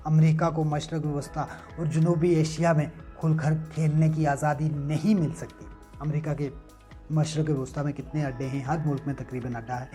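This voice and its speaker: High-pitched man giving news commentary. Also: darkish tone. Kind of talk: news analysis commentary, part scripted, part casual asides. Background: music.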